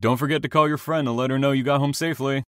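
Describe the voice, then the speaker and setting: A man playing a reserved, quietly intense train operator with veiled menace in an audio drama, speaking in a measured, courteous way. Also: medium pitch, fairly even intonation, lightly acted. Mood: polite.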